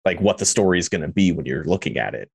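A man's voice: deep voice, lively intonation, fast, gravelly, slightly rough, no echo. A man co-hosting a podcast, asking questions in a casual interview style. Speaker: podcast co-host interviewing a guest.

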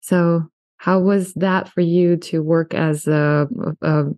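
A woman's voice: lowish voice, fairly even intonation, takes it slowly, darkish tone, dry recording, studio quality. A woman from Russia, hosting an interview and asking questions in a hesitant, casual way. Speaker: podcast host.

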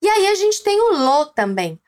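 A woman with a Brazilian Portuguese accent, teaching a language lesson slowly and clearly, prompting the listener to repeat. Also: extremely high pitch, sing-song, darkish tone. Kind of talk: scripted lesson narration.

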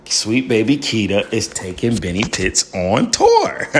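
A young man with a loud, somewhat low voice recounts his early days as a stand-up comedian. He tells it with animated energy and slips into the voices of the people he quotes. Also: takes it slowly, a little hiss or hum. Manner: acts out quoted people in bursts. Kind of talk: animated storytelling interview.

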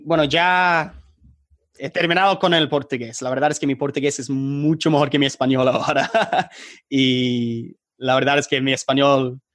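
A man's voice: mid-range voice, fairly bright tone, dry recording, studio quality. A man from England with an English accent, a non-native speaker in a casual interview, speaking hesitantly and searching for words. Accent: English accent in Spanish. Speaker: podcast guest, online tutor and startup founder.

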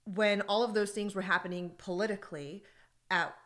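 A woman co-hosting a podcast, talking in a casual, animated way and laughing easily while she tells stories. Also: medium pitch, normal speed. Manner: animated storytelling with frequent laughs. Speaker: podcast co-host. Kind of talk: casual conversation about workplace groups.

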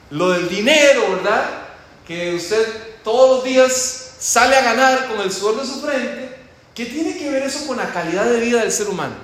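A man with a Costa Rican accent preaching and teaching in a church, praying and asking the congregation questions with emphasis. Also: very high-pitched. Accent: Costa Rican Spanish. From Costa Rica. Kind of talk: church teaching talk with prayer. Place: large echoey church hall. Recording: very echoey hall with faint noise.

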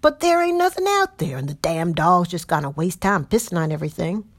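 A woman voicing a rough, drunken male slave patroller with a coarse drawl. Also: fairly low pitch, big pitch swings, fairly quick, no echo. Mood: scoffing.

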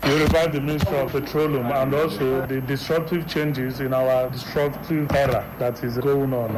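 A Nigerian man giving formal remarks as a committee chairman. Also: mid-range voice. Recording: noisy location recording.

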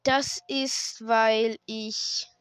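A hoarse woman hosting a gaming channel, chatting casually and hesitantly while she plays, with lots of fillers and restarts. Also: on the high side, steady tone, very slow, a bit bright, no room sound. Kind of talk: casual gameplay commentary. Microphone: desk microphone.

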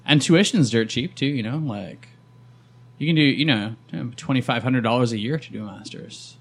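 A man's voice: medium pitch, normal speed. A man in his thirties from Canada, a guest chatting casually on a podcast, easygoing and laughing often, with trailing fillers. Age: 30s.

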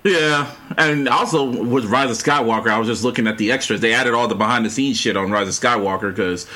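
A man co-hosting a podcast in casual chat, animated, laughing often and swearing freely, with a husky voice. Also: mid-range voice, brisk. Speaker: podcast co-host.

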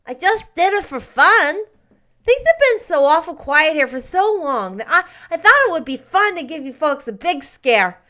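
Lady doing a earnest little storybook boy, high bright voice, blurts stuff out all childish n frank. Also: sing-song, normal speed, exaggerated voice. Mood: frank, excited. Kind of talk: storybook character voice.

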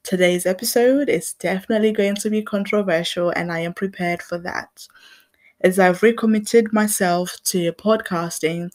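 A young adult woman from Zimbabwe hosting her own podcast, talking in an earnest, reflective way. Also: medium pitch. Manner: earnest and reflective, with small laughs. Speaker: podcast host. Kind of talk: personal commentary monologue.

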